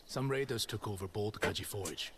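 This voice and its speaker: A man's voice: fairly low pitch, normal speed, crowd noise, close to an everyday voice. An actor playing a wry, confident masterless swordsman for hire in a video game. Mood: casual briefing.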